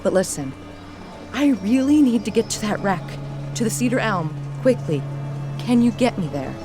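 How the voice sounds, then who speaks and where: A woman's voice: mid-range voice, expressive, moderate pace, lightly acted. Determined young woman played by an actress, music behind. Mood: insistent. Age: young adult. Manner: polite but insistent. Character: determined, urgent young woman seeking a boat charter. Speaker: actor in an audio drama. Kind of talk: full-cast audio drama acting.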